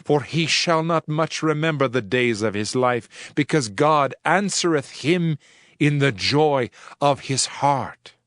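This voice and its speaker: An actor playing a solemn, reflective preacher of ancient wisdom, reading scripture in a measured, declamatory way for a dramatized audio Bible. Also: male voice, mid-range voice, expressive, fairly bright tone, no room sound, clean studio sound. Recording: music under the voice. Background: background music.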